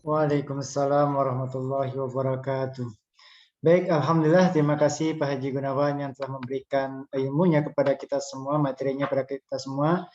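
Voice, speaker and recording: A man's voice: medium pitch, fairly even intonation, a little fast, darkish tone, muffled recording. A man moderating an online religious study session, talking to the audience with lots of "eh" fillers. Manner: chatty, many "eh" fillers, addresses audience. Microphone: online video call.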